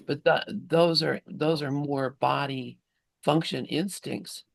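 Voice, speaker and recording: A man in a spiritual study group, reflecting informally and haltingly as he thinks aloud. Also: fairly high pitch, steady tone, gravelly, a little hiss or hum.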